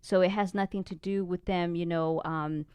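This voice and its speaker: A woman co-hosting a talk radio show, giving her views in a measured, earnest way. Her voice sits fairly low and sounds smooth, and the recording is dry and close. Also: fairly even intonation, darkish tone, no room sound. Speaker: radio co-host. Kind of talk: casual interview talk.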